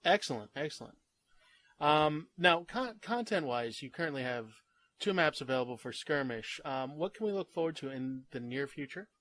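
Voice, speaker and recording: A man's voice: a little high, expressive, moderate pace, fairly bright tone, no room sound. A man hosting a gaming channel, opening with an upbeat greeting and interviewing a guest with eager reactions.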